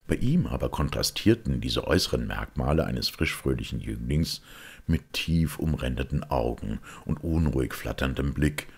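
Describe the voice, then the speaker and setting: A man reading a detective story aloud as an audiobook narrator, measured and expressive, with a gravelly, husky voice. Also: extremely low pitch. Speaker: audiobook reader.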